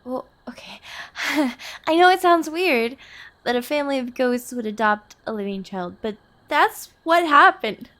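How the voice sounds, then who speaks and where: Woman performing a young girlfriend, high voice, unhurried pace, nervous, earnest, hesitant and pleading, intimate audio drama. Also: animated, sharp tone, exaggerated voice. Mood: pleading, exasperated. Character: nervous, earnest young woman introducing her ghost family to partner. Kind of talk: intimate audio roleplay acting.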